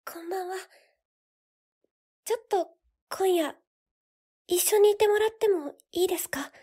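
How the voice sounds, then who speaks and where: An actress playing a timid, coaxing young woman in a voice drama, soft and pleading. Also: extremely high pitch, a little slow. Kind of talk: Japanese voice drama acting.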